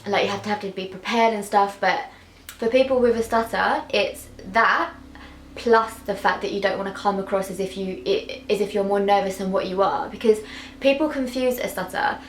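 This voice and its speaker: A young woman speaks candidly to camera, her words often snagging on a repeated sound before they come out. She fills her talk with easy "like"s, her voice neither high nor low. The bedroom around her gives a clear echo. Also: normal speed, a little hiss or hum. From England. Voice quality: stutter with sound and word repetitions. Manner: open, heartfelt, many fillers. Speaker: vlogger. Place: small bedroom indoors. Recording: echoey bedroom.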